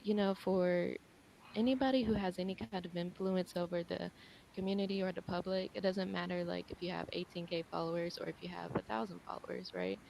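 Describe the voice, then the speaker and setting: A young woman with a soft voice, commenting hesitantly with many fillers. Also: on the low side, fairly even intonation, fairly quick, creaky, slight background noise, poor audio. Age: young adult. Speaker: guest commenter.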